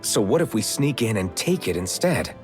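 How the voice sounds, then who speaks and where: An actor playing an earnest, resolute young rebel fighter in a fantasy anime game, speaking plainly. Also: male voice, fairly low pitch, sing-song, moderate pace, faint music behind. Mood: measured, wary. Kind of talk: English-dubbed anime game voice acting.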